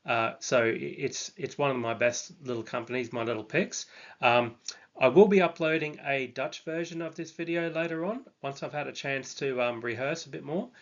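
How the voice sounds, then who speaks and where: A man's voice: mid-range voice, moderate pace, a bit bright, lo-fi sound. A man hosting his own channel, talking through a stock analysis in a casual, hesitant way with many ums and restarts.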